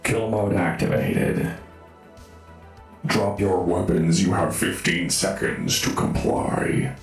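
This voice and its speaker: Deep, menacing kill-mode robot. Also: male voice, steady tone, slow pace, echoey room, soft background music. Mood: cold menace.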